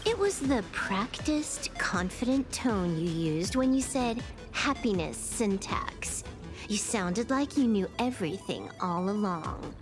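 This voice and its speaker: A woman voicing a cool, sassy, impatient young hacker in a video game, with a dismissive deadpan manner. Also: mid-range voice, takes it slowly, faint music behind. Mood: cool suspicion. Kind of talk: scripted video game acting.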